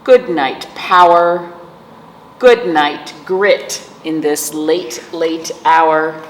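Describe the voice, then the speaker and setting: A woman hosting a storytime for children, reading aloud and chatting in a gentle, playful manner to young listeners. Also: low-pitched, drawn-out words. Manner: gentle, playful, addressing young viewers as friends. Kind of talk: read-aloud and chat for children. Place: indoors, room with aquamarine wall. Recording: echoey room with clear background noise.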